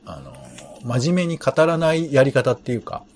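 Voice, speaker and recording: Man chatting reflectively, very animated pitch. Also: normal speed, soft background music. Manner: self-deprecating. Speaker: radio show co-host. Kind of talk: casual reflective chat.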